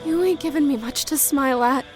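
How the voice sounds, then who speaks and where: A woman acting a brave, polite but defiant high school girl in a period audio drama, with folksy speech. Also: a little fast, over music, close to an everyday voice. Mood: frightened, pleading. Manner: folksy dialect grammar, plucky. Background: crickets and night insects.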